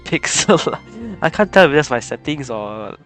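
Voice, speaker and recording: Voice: medium pitch, animated, moderate pace, a bit bright. A streamer with a sore throat, sounding sick, giving informal, giggly gameplay commentary. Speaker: streamer recording a gameplay video. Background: game music and dialogue.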